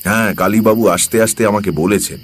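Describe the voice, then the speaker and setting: A man playing a calm, knowing occult priest who recounts a horror story in a measured, explanatory way. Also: on the low side, a little hiss or hum, lightly acted. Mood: calm, candid. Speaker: narrator. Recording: long reverb, faint music behind.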